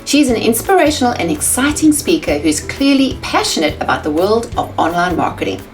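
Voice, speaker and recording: A woman's voice: a little high, sing-song, brisk, soft background music. A woman from South Africa with a South African accent, hosting an interview in an enthusiastic, chatty way and laughing often. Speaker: host.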